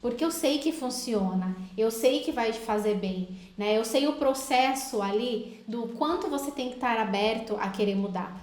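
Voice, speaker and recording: A woman's voice: fairly high pitch, normal speed, hardly any pauses, a little mellow. A woman, a hypnotherapist, chatting persuasively with a guest and often adding tag questions. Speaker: hypnotherapist host. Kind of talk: casual interview chat. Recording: roomy echo.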